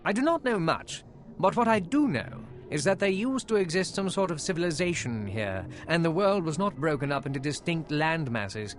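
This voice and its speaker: A man portrays an earnest exile from a fantasy game. His fairly high voice moves expressively through formal, reflective lines, with light music underneath. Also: lightly acted. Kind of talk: scripted video game dialogue.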